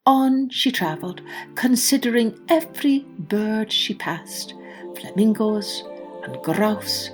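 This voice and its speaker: A woman narrating a scripted children's story as storyteller and host, with expressive, deliberate phrasing. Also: mid-range voice.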